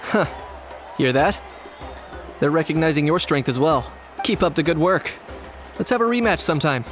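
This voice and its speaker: Young male champion for a game, acted by a man: confident, easygoing, slightly high, light music underneath. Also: moderate pace, lightly acted. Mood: encouraging. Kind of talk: video game voice acting.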